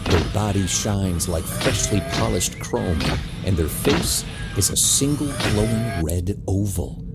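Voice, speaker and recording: A man reading scripted audio drama narration as a deliberate, descriptive storyteller. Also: deep voice, lively intonation, over loud music.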